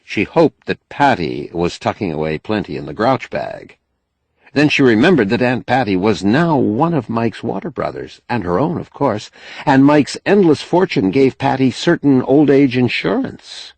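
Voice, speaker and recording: A man narrating a novel as an audiobook in a measured, expressive storytelling manner. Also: medium pitch, creaky, breathy edge, dry recording. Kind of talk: scripted literary narration.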